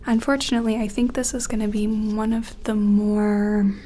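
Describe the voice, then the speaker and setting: A woman playing a gentle, curious scientist in an ASMR roleplay, speaking softly and tentatively in a breathy, often whispery, soothing voice. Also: medium pitch, little pitch movement, a little hiss or hum, natural voice. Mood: gentle, focused. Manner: soothing, tentative, apologetic, hesitant pauses. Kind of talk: soft-spoken ASMR roleplay.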